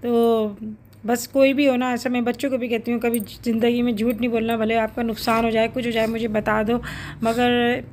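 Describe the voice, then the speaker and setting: A middle-aged woman talks through her home vlog in an earnest, reflective tone, often stopping to pause and gather her words. Her voice sits a touch high, and a faint noise runs underneath. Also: flat delivery, darkish tone. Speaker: cooking vlogger. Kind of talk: casual vlog voice-over.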